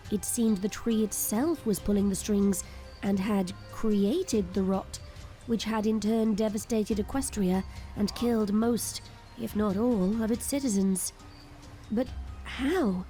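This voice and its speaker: A woman narrating a scripted audio drama in a measured, ominous storytelling manner. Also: medium pitch, warm tone. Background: music.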